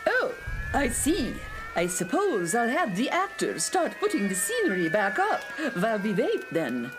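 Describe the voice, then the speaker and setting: A woman's voice: fairly high pitch, huge pitch swings, measured pace, over music, rough recording. A woman playing a put-upon stage director in a children's radio play, speaking in a dry, exasperated way.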